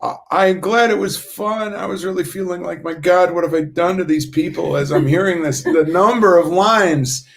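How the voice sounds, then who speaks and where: A man chatting casually as a playwright on a video call, enthusiastic and somewhat halting in his phrasing. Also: high voice, gravelly, a bit bright. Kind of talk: casual chat.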